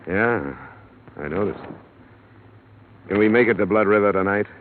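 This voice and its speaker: Low-voiced tough radio detective played by an actor. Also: male voice, normal speed, a little hiss or hum, close to an everyday voice. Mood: terse, matter-of-fact. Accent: American. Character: tough, level-headed male freelance insurance investigator. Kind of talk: 1950s American radio detective drama acting, with first-person narration. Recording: muffled, band-limited vintage radio, music behind.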